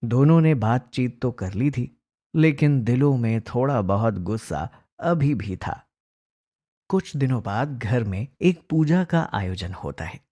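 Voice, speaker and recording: Slow, mellow male story narrator. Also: mid-range voice, expressive.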